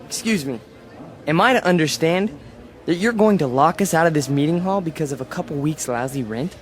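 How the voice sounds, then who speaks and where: Man acting fervent young demagogue, high roomy voice. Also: animated, fairly quick, natural voice. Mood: indignant. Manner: impassioned, rhetorical. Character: fervent young demagogue leading a fringe political movement. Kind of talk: radio drama acting. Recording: roomy echo, music behind.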